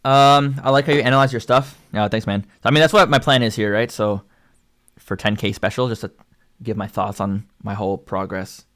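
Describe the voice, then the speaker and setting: A man's voice: fairly low pitch, steady tone, brisk, fairly bright tone. A young man with a Canadian accent, a singer chatting casually about his own vocal progress, rambling with many fillers. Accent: Canadian English. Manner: rambling and filler-heavy, lots of likes and ums. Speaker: singer and channel host. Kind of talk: casual livestream commentary.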